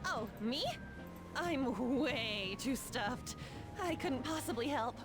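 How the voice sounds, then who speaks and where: A playful, polite teen girl in a video game, high and lilting with lively pitch. She teases sweetly over loud music. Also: slow pace.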